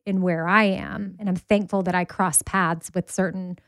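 A woman in her early forties speaks in a relaxed, open way. She often breaks off and picks her sentences back up. The sound is dry and close. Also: on the low side, moderate pace, no room sound. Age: 40s. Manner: candid, restarts mid-sentence. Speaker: podcast guest. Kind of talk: casual podcast conversation.

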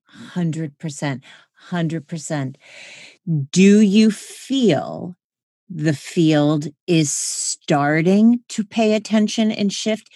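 Slow, deep-voiced woman host. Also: warm tone, no room sound, studio quality. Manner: measured, affirming, probing. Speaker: podcast host. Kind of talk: interview questions.

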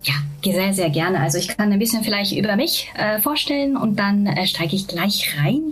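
Japanese-accented lady, breathy voice, lots of ums and english words mixed in. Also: medium pitch, faint music behind. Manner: halting German with many fillers and English words. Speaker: venture capital partner, guest. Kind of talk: casual interview talk.

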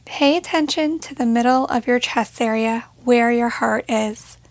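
Higher-pitched woman guiding a meditation. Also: dry recording. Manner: gentle, measured, with pauses between short phrases. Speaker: guided meditation instructor. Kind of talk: guided meditation narration.

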